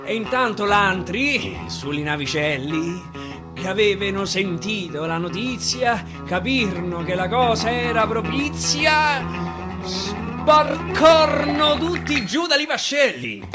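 A man with a Roman accent reciting dialect verse theatrically, swinging from loud declaiming to a hushed whisper. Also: sits high, expressive, slow pace. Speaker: actor reciting dialect poetry. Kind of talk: theatrical poetry recitation for radio. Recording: live guitar accompaniment.

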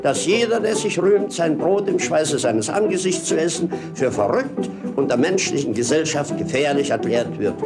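An actor playing a mocking, exuberant jester in a 1950s German radio play, declaiming theatrically. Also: male voice, a little high. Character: mocking, exuberant male jester companion of a prince.

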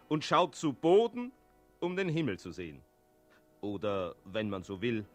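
A sly talking cat in a vintage radio play. The wry storytelling takes its time and swings up and down in a middle register, with faint music behind. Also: male voice, medium pitch, fairly bright tone. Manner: wry, unhurried storytelling with meows. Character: sly, wry male cat commenting on the townsfolk. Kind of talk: 1960s German radio play narration.

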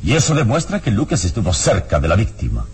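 An actor playing a calm, resolute, courteous hero in a vintage radio drama, speaking with solemn, deliberate gravity. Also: male voice, on the low side. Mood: grave, firm. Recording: faintly noisy old radio recording.

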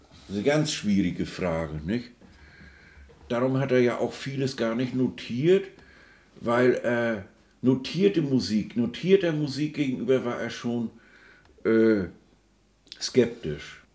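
Halting male expert in an echoey room. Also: medium pitch, a little mellow. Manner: halting, discursive, searching for words, seeking agreement. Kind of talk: spontaneous explanatory talk.

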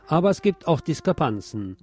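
A man's voice: on the high side, very animated, normal speed. A man presenting scripted radio news as a narrator, reading reports in an orderly way. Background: music under the voice.

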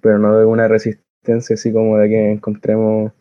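A man's voice: on the low side, completely flat delivery, fast, clear, resonant tone, dry recording. A man giving an informal testimony as a community volunteer, hesitant and correcting himself as he goes. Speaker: community soup kitchen participant. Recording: dry, narrow phone-like audio.

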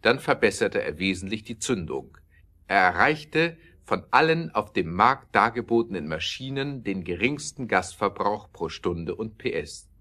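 A man narrating an audio drama with scripted, expressive storytelling delivery. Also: on the low side, unhurried.

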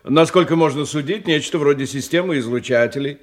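A man's voice: a little high, a little fast, close to an everyday voice. An actor playing a testy man in his fifties who reports formally to his superior in a radio drama, with irritable muttered asides. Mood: dutiful, pedantic. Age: 50s. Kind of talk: Russian radio drama acting.

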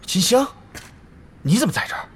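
A man's voice: high voice, big pitch swings, fairly quick, a little hiss or hum. An actor in a Chinese audio drama playing an agitated, blustering male guild leader. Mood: puzzled. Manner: agitated, forceful.

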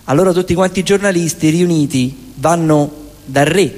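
A man's voice: fairly high pitch, moderate pace, darkish tone. A man with a Roman Italian accent performing live comic musical storytelling in a colloquial, theatrical way. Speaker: stage performer and singer-songwriter. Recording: reverberant live stage with music. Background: guitar music.

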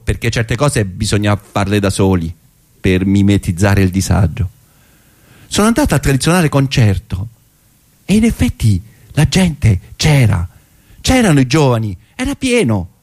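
A middle-aged man with a Roman accent delivers a theatrical monologue in a gravelly, creaky voice. He talks colloquially, as if recounting a memory, hesitating and dropping in wry asides. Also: medium pitch, a little mellow. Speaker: stage monologue performer. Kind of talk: theatrical first-person narrative monologue in colloquial speech.

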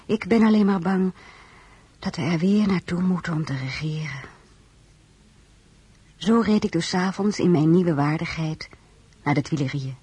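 An actress playing an earnest, anxious French marshal's wife in a radio drama, emotional and sincere in both dialogue and first-person narration. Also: female voice, lowish voice, darkish tone, slightly rough, no echo. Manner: earnest, emotional, hesitant when pleading.

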